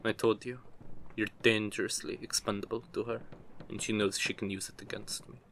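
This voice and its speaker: Audio roleplay: male actor for a low-pitched, gruff mercenary, teasing and protective, hesitant when opening up; faint noisy ambience. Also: little pitch movement, fairly quick, fairly bright tone. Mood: bitter, protective. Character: gruff, guarded captured mercenary with a soft side. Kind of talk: intimate audio roleplay acting.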